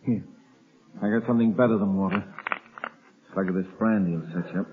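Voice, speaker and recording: An actor playing a jumpy, callous gangster henchman in a 1930s radio drama, with rough, slangy tough-guy talk. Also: low-pitched, fairly even intonation, a little fast, over music, natural voice. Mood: gloating, rough. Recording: old 1930s radio broadcast, narrow band.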